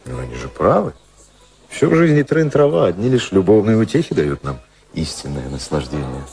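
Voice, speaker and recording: An actor playing a flirtatious, flippant society playboy in a radio play, with a teasing, ironic, theatrical delivery. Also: male voice, low-pitched, big pitch swings, fairly quick, gravelly, darkish tone, exaggerated voice. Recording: music playing behind the voices.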